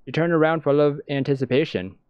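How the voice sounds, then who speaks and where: A man's voice: fairly high pitch, fast talker, smooth, no echo. A man reading visual novel narration aloud, as in a let's-play. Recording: dull lo-fi sound, music behind.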